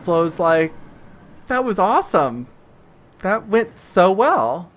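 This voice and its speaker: A woman game master improvising narration for a tabletop game in a halting, conversational way. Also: on the low side, very animated, a little hiss or hum. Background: soft music.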